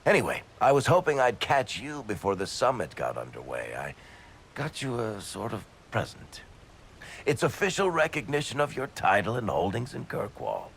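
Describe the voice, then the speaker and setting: A wisecracking dwarf from a fantasy game. The voice is very low and gravelly, swinging freely in pitch as the breezy irreverence and soft heart show through. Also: male voice, very animated, normal speed, slight background noise, full character voice. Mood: wry, breezy. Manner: wry, casual, breezily irreverent. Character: gruff, wisecracking, big-hearted dwarf rogue turned city ruler. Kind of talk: video game voice acting.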